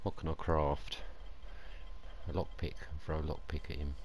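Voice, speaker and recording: A man's voice: rumbling low, flat delivery, normal speed. A middle-aged man giving casual gameplay commentary, thinking aloud as he plays. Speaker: gaming YouTuber. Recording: loud game noise behind voice. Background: video game sound effects.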